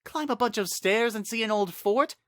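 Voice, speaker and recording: Man voicing a brash, teasing sorceress, pitched up. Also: normal speed, fairly bright tone, dry recording, clean studio sound. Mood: exasperated. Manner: playful, sardonic. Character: teasing, brash young woman magician. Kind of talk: dramatic storybook reading.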